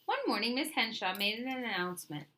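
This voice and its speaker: A woman reading a children's picture book aloud with lively, expressive storytelling. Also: on the high side, a little fast.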